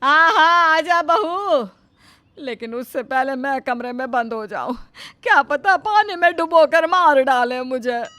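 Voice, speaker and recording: A woman's voice: sits high, lively intonation, a little slow, pushed and bright, no echo, exaggerated voice. A woman voicing an exasperated, nervous mother-in-law who is sarcastic and stammers when scared.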